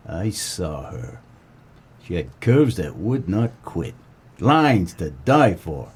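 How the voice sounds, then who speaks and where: A man playing a wisecracking, deadpan 1940s private detective in a comedic noir radio play, with terse, slangy tough-guy delivery and a husky voice. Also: on the low side, full character voice. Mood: deadpan, wistful. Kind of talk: comedic noir radio play, first-person narration and dialogue.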